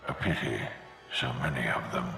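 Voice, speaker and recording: An actor playing a cold, ominous, calm overlord in a video game, very deep and gravelly, in an echoing space. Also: male voice, moderate pace, soft background music, full character voice. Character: cold, ominous male observer with imperious calm. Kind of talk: dramatic video game acting. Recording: echoey, long hall reverb.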